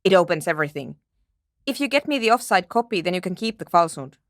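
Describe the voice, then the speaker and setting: Precise, expressive young programmer woman. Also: lowish voice, no room sound, clean studio sound. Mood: thoughtful, matter-of-fact. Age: young adult. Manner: measured, technical, dryly formal. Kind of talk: video game character voice acting.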